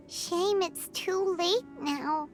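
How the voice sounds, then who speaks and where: A tiny, childlike female fairy-sized companion from a fantasy game. Her voice is extremely high and squeaky, and she talks slowly and expressively with naive curiosity. Music sits behind her. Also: full character voice. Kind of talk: video game story dialogue. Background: background music.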